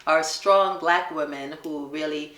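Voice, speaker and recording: A middle-aged woman with a Jamaican accent, telling her son stories in a relaxed family question-and-answer chat and laughing often. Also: sits low, measured pace, reverberant. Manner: warm storytelling, laughs often.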